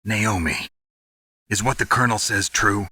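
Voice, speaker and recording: A gruff, stoic male soldier from a video game. His voice is very low, hard-edged and forceful, with a gravelly rasp, and he speaks in terse, hard-boiled questions and replies. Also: normal speed, dry recording. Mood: intense, shocked. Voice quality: gravelly, rough. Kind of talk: dramatic video game voice acting.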